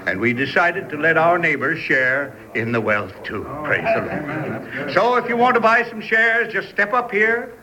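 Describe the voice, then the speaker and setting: Folksy, sly American swindler, animated. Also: male voice, on the high side, measured pace, crowd noise, poor audio. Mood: salesmanlike. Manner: folksy, smooth-talking. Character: sly male swindler posing as pious mine seller. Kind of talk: radio drama acting.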